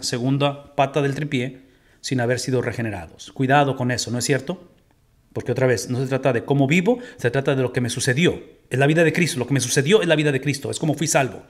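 A middle-aged Mexican man with a Mexican Spanish accent, teaching a Bible study from a home room and putting rhetorical questions to his listeners. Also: mid-range voice. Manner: animated, gestures while teaching, asks the audience rhetorical questions.

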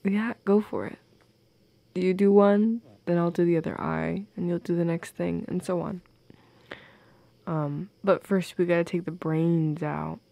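Woman doing a teasy goth gf for audio rp, soft n murmury w lots of vocal fry, close dry sound, playful. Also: lowish voice, steady tone, slow pace, pauses often, no echo, muffled recording. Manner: teasing, coy, giggly. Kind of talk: intimate audio roleplay addressed to the listener.

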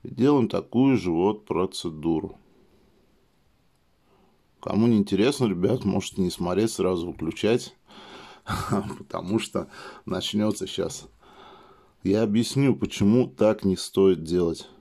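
A man with a slightly hoarse voice from a cold, chatting casually while demonstrating a product at a table indoors and leaning on filler phrases. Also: sits low, unhurried, pauses often, mellow. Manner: chatty, keeps saying "let's say" as filler. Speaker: product reviewer. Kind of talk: casual how-to demo.

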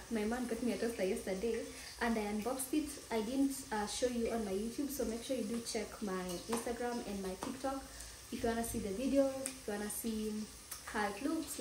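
A young woman in her twenties with a Kenyan accent and a raspy morning voice, chatting casually in a vlog and often dropping to a soft near-whisper. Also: on the high side. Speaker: vlogger. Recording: echoey room with faint background noise.

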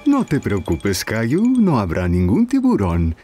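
A man voicing a patient, reassuring and playful father in a children's cartoon, explaining things gently and encouragingly. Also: on the low side, huge pitch swings, a little slow, soft background music.

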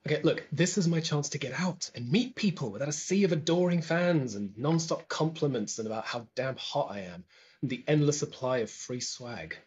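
A man's voice: on the high side, lively intonation, moderate pace, slightly rough. A young man playing a breezy, cocky, chatty valet in a pantomime. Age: young adult. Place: living room at home. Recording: dull video-call audio.

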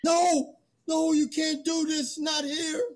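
A man playing a pleading human envoy in a play reading, halting and emphatic. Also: extremely high pitch, little pitch movement. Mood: frightened. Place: video call, indoors.

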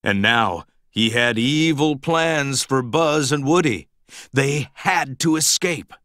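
A man reading a children's storybook aloud in an expressive, dramatic, emphatic storytelling manner. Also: medium pitch, takes it slowly, dry recording, studio quality. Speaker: storybook narrator.

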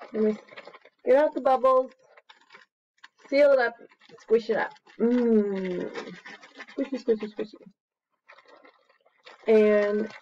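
A woman in her thirties with an expressive, singsong manner, talking to young children as an educator. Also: fairly high pitch. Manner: expressive, singsong, encouraging young listeners to join in. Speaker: children's educator and story reader. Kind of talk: animated read-aloud and casual craft demonstration for young children. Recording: slightly dull webcam recording.

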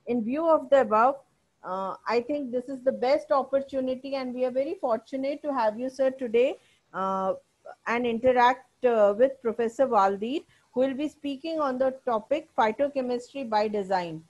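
A woman's voice: a little high, moderate pace, highs cut off. A woman with an Indian English accent, formally introducing a guest speaker as a webinar host, polite with fillers.

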